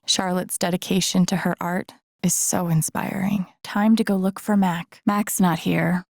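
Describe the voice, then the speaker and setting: A woman playing a thoughtful, wry young game protagonist, musing to herself in low-key introspective lines. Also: vocal fry, a little mellow, dry recording, clean studio sound, lightly acted. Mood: wry, amused, then determined. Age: young adult.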